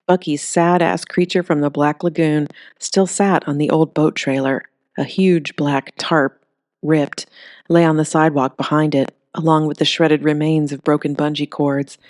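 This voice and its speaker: A woman narrating a comedic creature story in an even, steady reading voice. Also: low voice, moderate pace, no echo, studio quality. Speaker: audiobook narrator.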